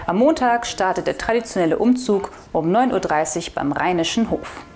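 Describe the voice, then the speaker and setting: A young woman hosts a local newspaper's weekly video news show. She talks to the camera in a relaxed, chatty tone, then reads short news items plainly. The sound is close and clean from a lapel mic in a small studio. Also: lowish voice, normal speed, soft background music. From northwestern Germany. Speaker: video host of a regional newspaper. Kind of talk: casual weekly news roundup. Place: studio in front of a blue backdrop.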